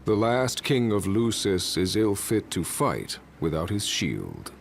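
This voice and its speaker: An actor playing a solemn, imperious ancient warrior in a video game, speaking in formal, archaic and stately diction. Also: male voice, lowish voice, huge pitch swings, slow, vocal fry, slight background noise, full character voice. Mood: solemn, commanding. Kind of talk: dramatic video game acting.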